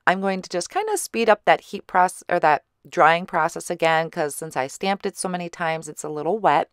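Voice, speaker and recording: A woman giving a chatty voice-over for a card-making tutorial, her voice slightly hoarse. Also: on the low side, a little fast, no echo, clean studio sound. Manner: relaxed, chatty explaining with small fillers. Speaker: card-making channel host. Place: recorded separately as voice-over.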